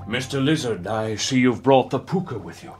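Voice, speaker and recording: An actor playing a smug, cryptic old scientist-wizard in a comic audio drama, pompous and pedantically precise. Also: male voice, mid-range voice, animated, normal speed, natural voice. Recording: music bed behind voice.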